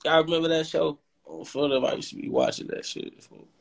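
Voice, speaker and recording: A man's voice: on the high side, huge pitch swings, a little fast, creaky. Young man bantering over noisy livestream. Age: young adult. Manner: teasing, slangy. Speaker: host of a social media livestream. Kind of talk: casual slangy banter with live viewers and callers. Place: home kitchen, indoors. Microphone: phone at close range. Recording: noisy phone livestream with clicks.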